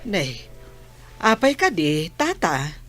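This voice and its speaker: Fussing, bossy auntie for radio drama, played by a woman: Ilocano accent, somewhat low, very lively delivery. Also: slow, soft background music, exaggerated voice. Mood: concerned. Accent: Filipino, Ilocano.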